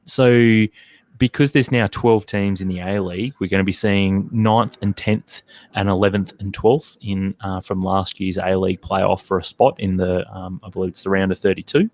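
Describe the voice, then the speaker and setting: Aussie bloke hosting a footy pod, low voice, dry, lots of ums and restarts. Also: male voice, fairly even intonation, moderate pace, darkish tone, no room sound. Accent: Australian. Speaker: podcast host. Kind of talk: casual sports chat.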